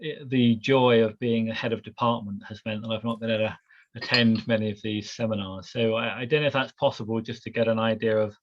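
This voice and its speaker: A man leading an informal academic discussion on a video call, halting and full of fillers and restarts. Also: lowish voice, fairly even intonation, dull audio. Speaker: discussion leader, head of department. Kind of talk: hesitant informal academic chat.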